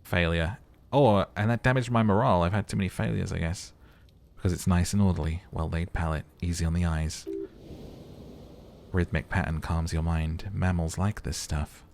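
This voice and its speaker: A man's voice: sits low, fairly even intonation, normal speed, creaky, a little mellow, a little hiss or hum. A man hosting a video game playthrough, mixing casual muttered commentary with dramatic reading of game text. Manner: thinks aloud, muttering and humming to himself.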